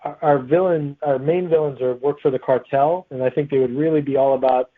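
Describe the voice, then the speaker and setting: A man talks casually as a guest author in an interview. His voice is medium in pitch and sounds narrow and tinny, as if coming down a phone line. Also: fairly even intonation, a little fast.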